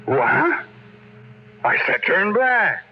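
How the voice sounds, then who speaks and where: An actor playing a ruthless, domineering, power-hungry tycoon in a 1950s radio drama. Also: male voice, high voice, wildly swooping pitch, drawn-out words, vocal fry, full character voice. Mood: angry, alarmed. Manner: forceful, domineering, bombastic. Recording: muffled, noisy 1950s radio recording.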